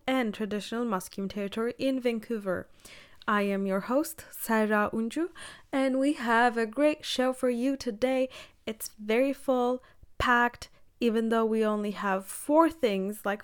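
Female radio presenter and interviewer. Higher pitch, crisp clear tone, informal and chatty delivery. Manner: chatty, informal, self-deprecating. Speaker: radio show host and interviewer. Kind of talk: casual arts radio presenting.

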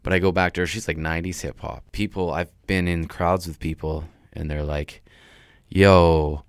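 A man with a deep voice, a rapper talking loosely in an interview with many restarts. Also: level tone, normal speed, smooth, no echo, clean studio sound. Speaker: rapper guest. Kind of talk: casual interview talk.

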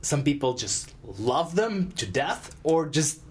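A man's voice: on the high side, very animated, takes it slowly. A man from Russia, a podcast host asking a musician casual questions in slightly phrasing. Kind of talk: casual interview.

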